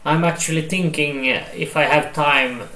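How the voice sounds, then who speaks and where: A man, co-hosting a live stream and talking in a slow, halting way as he searches for words. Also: fairly high pitch, sharp tone, recorded from a distance. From Finland. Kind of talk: casual live stream chat. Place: small indoor room, seated on a sofa. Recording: roomy sound.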